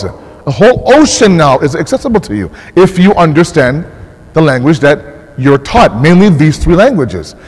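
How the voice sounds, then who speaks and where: A man's voice: fairly high pitch, a little mellow. A man with an American accent delivering an impassioned sermon, often repeating and restarting phrases as he builds a point. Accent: American English. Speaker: mosque speaker. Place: mosque hall. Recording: long hall reverb.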